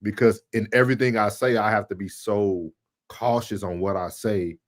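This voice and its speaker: A man with a lowish voice, recorded dry with no room sound, talks casually as a stream co-host. He is opinionated and emphatic, often saying a point again to make it land. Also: fairly even intonation, normal speed, no echo.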